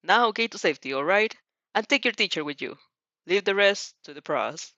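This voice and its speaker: A woman's voice: medium pitch, lively intonation, normal speed, pushed and bright, no room sound, muffled recording. A woman voicing a commanding, encouraging adult male hero in a story reading. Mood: encouraging, firm.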